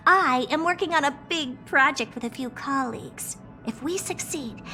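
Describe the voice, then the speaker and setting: A woman's voice: high voice, sharp tone, full character voice. A woman voicing a proud, eccentric, youthful female genius scientist in a video game, self-assured and theatrical, delivering scripted monologues. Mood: brisk, breezy, then intrigued, awed. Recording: music behind the voice. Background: music.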